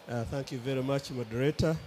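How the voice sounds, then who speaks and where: A man from Uganda, a government water official, giving measured, methodical remarks on a conference panel. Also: medium pitch, fairly even intonation, heavy background noise. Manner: measured, methodical, lists points.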